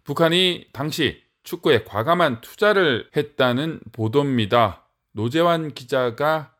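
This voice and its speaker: A man's voice: on the high side, lively intonation, a little slow, a bit bright. A man anchoring a radio news program, reading scripted links in a formal announcer tone. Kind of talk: scripted broadcast narration.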